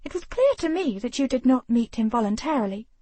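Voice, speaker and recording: A woman voicing an observant young lady who reasons things out crisply. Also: on the high side, big pitch swings, a little fast, warm tone, no room sound, pitched above the performer's own voice. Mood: reasoning.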